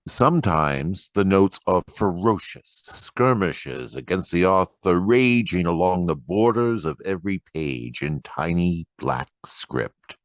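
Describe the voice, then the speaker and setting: A man recites poetry in a deep register with unhurried, deliberate phrasing. His delivery is dramatic and expressive, captured close and dry. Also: dry recording. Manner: expressive, deliberate, acts out quoted remarks. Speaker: poetry reader.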